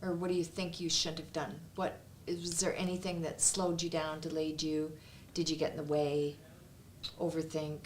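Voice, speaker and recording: A woman interviewing her guests in a relaxed chat, asking probing follow-up questions. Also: sits low, moderate pace, a little hiss or hum. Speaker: interviewer, business co-founder. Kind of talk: casual interview.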